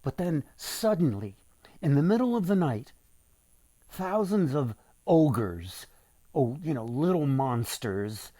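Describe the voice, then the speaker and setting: Slow, animated, mellow male storyteller. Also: on the high side, no room sound. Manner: deliberate, dramatic, emphatic phrasing. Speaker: author reading his folktale aloud. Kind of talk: storytelling for young listeners.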